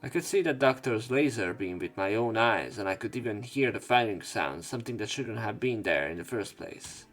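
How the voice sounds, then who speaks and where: Low-voiced man narrating a game. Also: animated, moderate pace, hardly any pauses, fairly bright tone. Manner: casual, joking asides and crude swearing. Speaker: let's play narrator. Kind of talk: reading game text aloud with commentary. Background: faint game music.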